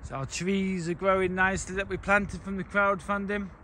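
A man in his forties with a fairly high voice, talking to his phone at arm's length as he wanders around a mountain farm. He chats in a loose, rambling way, full of fillers and restarts, with a faint hiss behind him. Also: little pitch movement, moderate pace, bright, forceful tone. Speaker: farm owner vlogger. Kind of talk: casual walk-and-talk vlog. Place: mountain farm, mostly outdoors, partly in a wooden kitchen. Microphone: phone held at arm's length.

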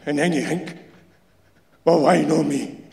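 A man's voice: a little high, a little slow, vocal fry, darkish tone. A man voicing a bitter, dying shipyard insulator with a Glasgow accent, blunt and emphatic, in a live story reading. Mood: bitter, resigned. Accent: Scottish, Glasgow. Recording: echoey hall, live stage PA.

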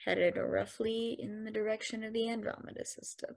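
A woman's voice: fairly high pitch, level tone, normal speed, vocal fry, a little mellow. A woman voicing a naive, hopeful space probe, reading in a table read over a video call. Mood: hesitant. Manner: hesitant, then insistent.

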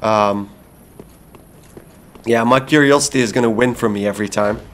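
A man's voice: medium pitch, moderate pace. A man from Belgium giving casual gameplay commentary, talking to himself and swearing freely. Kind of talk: casual blind playthrough commentary.

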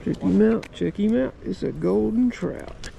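High-pitched, gravelly fisherman. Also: unhurried, dark tone. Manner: folksy, exclamatory, mild swearing. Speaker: fishing vlogger. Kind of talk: casual excited chat while fishing. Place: outdoors at a trout stream. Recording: noisy outdoor recording, water and ambient noise.

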